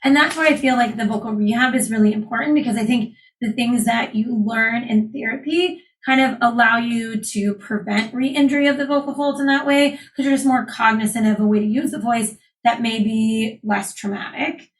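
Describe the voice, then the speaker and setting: Calm explaining woman doctor. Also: a little high, normal speed, hardly any pauses. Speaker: laryngologist guest. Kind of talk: interview, explaining medical topics.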